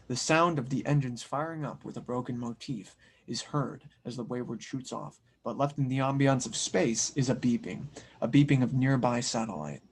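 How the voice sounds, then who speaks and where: A man's voice: medium pitch, husky. A man reading scripted narration and stage directions as the narrator of an audio drama table read.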